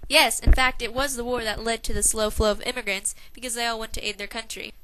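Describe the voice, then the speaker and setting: A woman's voice: a little high, fairly even intonation, fast talker, sharp tone, no room sound. A young woman playing a peppy, slangy 1920s radio morning hostess, reading a script like an announcer on a noisy amateur recording. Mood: upbeat, announcing. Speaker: radio show host.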